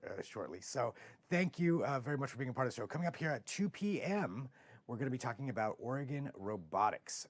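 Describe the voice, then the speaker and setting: Hoarse American man hosting live TV. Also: on the low side, no echo. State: hoarse, rough voice. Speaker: TV show host. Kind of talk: casual live interview.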